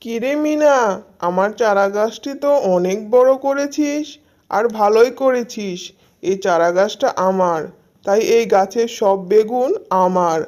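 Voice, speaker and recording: Mellow tone, greedy tigress auntie, bullying neighbour, children's cartoon, acted by a woman. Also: mid-range voice, measured pace, lightly acted. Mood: greedy, bossy.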